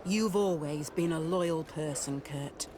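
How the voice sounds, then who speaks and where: An actor playing a principled, grave military officer in a video game. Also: male voice, on the high side, takes it slowly. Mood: stern, supportive. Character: loyal, principled Guard officer. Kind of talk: dramatic video game dialogue. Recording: clear outdoor ambient noise behind.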